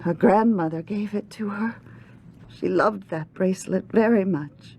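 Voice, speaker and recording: An actress playing a grieving older mother in a video game, gentle and hesitant, with a breathy, pleading delivery. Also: mid-range voice, a little slow. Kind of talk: video game cutscene acting.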